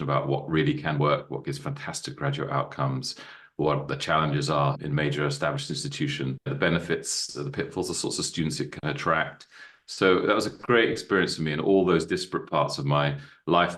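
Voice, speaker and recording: Deep bass-voiced man in his fifties. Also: steady tone, a little fast, a bit bright. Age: 50s. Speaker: guest, university co-founder. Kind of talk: podcast interview.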